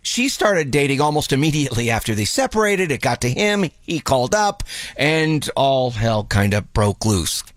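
A man's voice: medium pitch, big pitch swings. A man with a New York accent hosting morning radio, joking and bantering with callers and co-hosts. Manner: playful, jokey, quick back-and-forth. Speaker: radio morning show host. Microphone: studio microphone.

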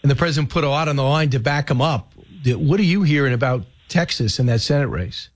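A man hosting a talk radio show, chatting casually about politics and firing off questions with quick asides. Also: mid-range voice, animated.

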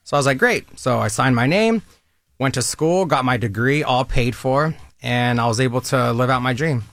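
A man's voice: mid-range voice, lively intonation, moderate pace, fairly bright tone, no room sound. Upbeat recruiter man, dry studio sound. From Southern California. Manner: upbeat, persuasive, conversational. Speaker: military recruiter guest. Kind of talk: radio interview.

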